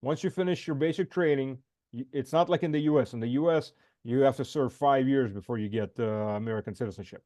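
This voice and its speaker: A middle-aged man whose first language is Russian hosts a casual interview over a video call. His voice is dark and mellow, the sound dry and close, and he talks in a blunt, emphatic way, repeating points for weight. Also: mid-range voice, fast, no room sound. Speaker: podcast host.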